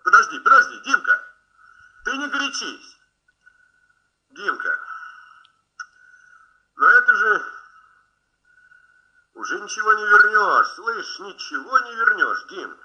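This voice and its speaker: A man's voice: high voice, very animated, slow pace, pauses often, dull audio, exaggerated voice. An actor playing a protective, emphatic male doctor and professor in a 1970 Soviet radio play. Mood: pleading, desperate.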